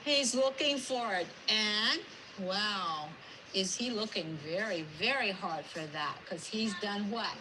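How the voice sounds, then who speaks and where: A woman speaking as a preschool teacher, instructive and deliberate, using simple wording. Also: mid-range voice, noisy background. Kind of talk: instructive talk to and about young children.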